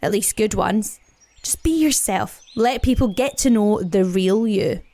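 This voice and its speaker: A woman voicing a big, sensible male brown bear in a children's story, speaking slowly and emphatically. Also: mid-range voice, warm tone, close to an everyday voice. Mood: kindly.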